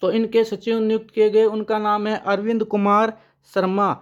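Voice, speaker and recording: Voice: medium pitch, little pitch movement, moderate pace, clean tone. Quiz-style teacher on a dull recording. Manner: asks questions aloud and answers them; repeats key names. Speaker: current affairs teacher. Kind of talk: exam-prep lesson with memory tricks.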